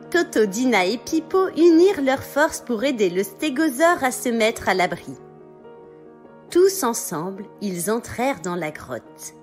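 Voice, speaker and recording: A woman narrating a scripted children's story as a storyteller. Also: fairly high pitch. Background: music.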